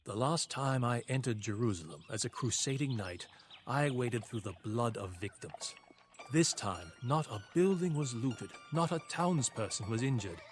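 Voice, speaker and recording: Game narrator: male actor as reflective captured knight, grave measured storytelling, mid-pitched, over music. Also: expressive, close to an everyday voice. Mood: somber. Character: captured crusader knight, weary reflective chronicler in Saracen service. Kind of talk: scripted narration. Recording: game cutscene with music underneath.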